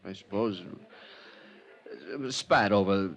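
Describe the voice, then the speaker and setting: A wounded, defensive ex-husband in an old radio play. His low voice is halting and plaintive, full of grief. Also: a bit bright, heavily acted. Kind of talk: 1950s radio drama acting.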